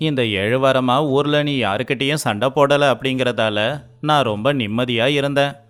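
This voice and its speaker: A man's voice: medium pitch, moderate pace, fairly bright tone, clean tone, natural voice. A man voicing a clever, scheming village husband in a moral story, speaking persuasively and expressively. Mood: pleased, explaining. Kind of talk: acted moral story for children. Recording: faint music underneath.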